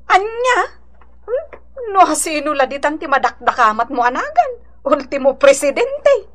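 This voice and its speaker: Nosy Ilocano gossip sidekick for radio drama: animated, bright, conspiratorial. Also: female voice, high-pitched, normal speed. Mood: surprised, prying. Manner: teasing, exclaiming, conspiratorial. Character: nosy, teasing gossip companion, village woman.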